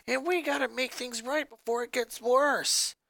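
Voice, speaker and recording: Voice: high voice, steady tone, moderate pace, gravelly, no echo, pitched above the performer's own voice, full character voice. A fan reader voicing a fifteen-year-old rocker girl who talks in laid-back slang. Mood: excited. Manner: laid-back slang, shouting when upset. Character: excitable teenage rocker girl, says dude. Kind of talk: fanfic reading.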